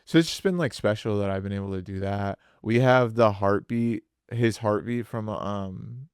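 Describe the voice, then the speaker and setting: A man's voice: lowish voice, moderate pace, darkish tone, smooth, no echo, studio quality. A young man, a musician co-hosting a podcast, chatting casually and rambling with lots of filler words. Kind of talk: casual chat.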